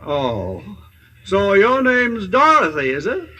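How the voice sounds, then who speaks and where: A man's voice: high-pitched, huge pitch swings, takes it slowly, pushed and bright, exaggerated voice. A man playing a grandiose but kindly carnival humbug in a 1950s radio drama, pompous and theatrical with flustered stammers. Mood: showy, coy. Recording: vintage radio broadcast.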